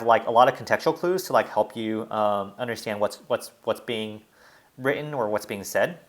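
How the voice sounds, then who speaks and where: A man's voice: medium pitch, fairly bright tone. A young man in a casual, reflective vlog monologue, rambling and restarting often, with constant "like" fillers. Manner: rambling, heavy use of "like" fillers, frequent restarts. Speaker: language learning vlogger.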